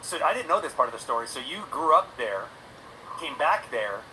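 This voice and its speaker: A man's voice: medium pitch, very animated, moderate pace, pushed and bright, slight background noise, low-quality recording. A man hosting a stream interview, asking rambling, chatty questions. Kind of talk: casual interview talk.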